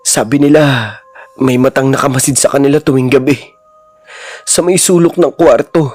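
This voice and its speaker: A man voicing an exhausted, sick husband who speaks in a weak, quiet voice in a horror story. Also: mid-range voice, fairly even intonation, moderate pace, dry recording, natural voice. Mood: haunted.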